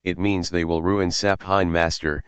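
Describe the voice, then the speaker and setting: A man's voice: low voice, level tone, measured pace, clear, resonant tone, dry recording. A man reading a scripted mock emergency broadcast as a formal announcer.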